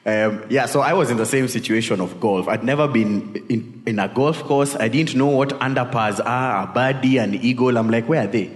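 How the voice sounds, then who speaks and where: The sound is echoey, with the long reverb of a large hall. A man with a Kenyan accent preaches at a medium pitch. His sermon is conversational and informal, built like personal storytelling. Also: moderate pace, few pauses. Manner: informal, peppered with tag questions and Swahili asides.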